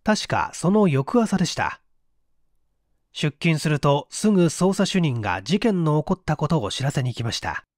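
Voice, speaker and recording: A man narrating a mystery story as an audiobook reader, in an expressive, measured storytelling manner on a close microphone. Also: fairly high pitch, dry recording. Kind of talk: scripted literary narration, mystery story reading.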